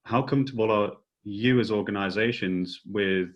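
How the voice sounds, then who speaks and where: Very low-pitched man, slightly dull audio. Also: normal speed. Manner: hesitant, carefully searching for wording. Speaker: panel questioner. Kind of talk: measured discussion, posing questions.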